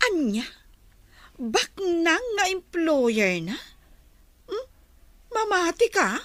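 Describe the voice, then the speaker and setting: A woman's voice: extremely high pitch, sing-song, extremely slow, a bit bright, no room sound, full character voice. A woman acting an envious, nosy, disbelieving gossip in a radio drama.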